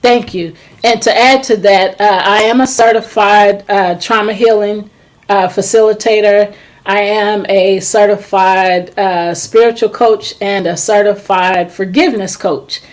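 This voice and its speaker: A middle-aged woman hosting a talk show who speaks as a trauma and forgiveness coach, talking slowly and hesitantly with many ums. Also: mid-range voice, fairly even intonation. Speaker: host. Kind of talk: casual chat.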